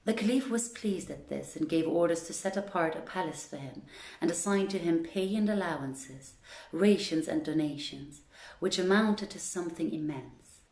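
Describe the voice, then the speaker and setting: A woman's voice: lowish voice, moderate pace, darkish tone, echoey room. A woman hosting an online story reading, speaking to listeners and reading literary tales aloud. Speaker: reader of stories to an online audience.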